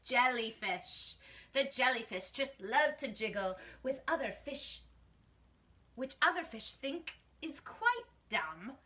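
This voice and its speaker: A woman with a high, very bright voice hosts a storytime for children. She reads rhymes aloud expressively, with animated, dramatic delivery. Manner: animated, exclaiming, dramatic hushes. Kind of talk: expressive read-aloud of a rhyming picture book for children.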